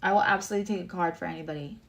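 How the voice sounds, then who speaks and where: A woman tarot reader and astrologer with a low voice, giving a livestream reading, chatty and emotional, addressing viewers by name. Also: racing, gravelly, fairly bright tone.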